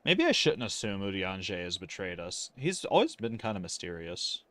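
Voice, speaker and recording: A man's voice: low-pitched, big pitch swings, normal speed, fairly bright tone, dry recording. A man giving casual, sardonic livestream commentary as a game streamer, grumbling along as he goes.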